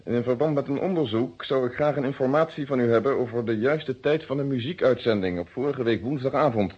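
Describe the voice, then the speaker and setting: An actor as a formal police inspector, muffled recording. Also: male voice, medium pitch, fairly quick, breathy edge, close to an everyday voice. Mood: polite, official. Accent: Netherlands Dutch. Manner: formal, precise, official phrasing. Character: formal, methodical male police inspector, measured and correct. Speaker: actor in a detective radio play. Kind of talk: vintage Dutch radio drama acting. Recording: dull, muffled old radio recording.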